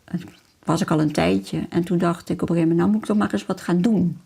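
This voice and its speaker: A woman's voice: fairly low pitch, fairly even intonation, fast talker, creaky, warm tone, breathy edge. A middle-aged woman talking about her hobby and memories in a personal interview, as a hobbyist. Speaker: miniature-making hobbyist being interviewed.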